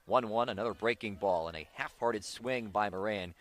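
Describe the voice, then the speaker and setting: An American man doing live play-by-play baseball commentary on the radio, with excited calls on big plays. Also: on the low side, normal speed.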